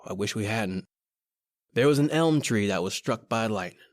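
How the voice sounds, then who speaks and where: A man's voice: fairly low pitch, lively intonation, normal speed, no room sound, lightly acted. A man with an American accent playing a cocky, slangy small-time crook in an old-time radio horror drama. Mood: uneasy, reminiscing. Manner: slangy, colloquial, conversational. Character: cocky wisecracking small-time male crook telling his own eerie tale. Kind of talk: old-time radio horror acting, first-person narration and dialogue.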